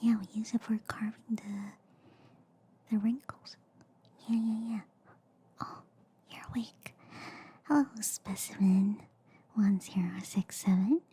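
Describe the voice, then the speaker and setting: A woman voicing a quirky, reassuring alien girl doctor in a soft ASMR roleplay, mostly in a hushed, breathy whisper with a soothing, chatty manner. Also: mid-range voice, fairly even intonation, a little hiss or hum, close to an everyday voice. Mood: casual, clinical. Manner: slow, chatty, soothing, repeating filler words. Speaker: ASMR performer.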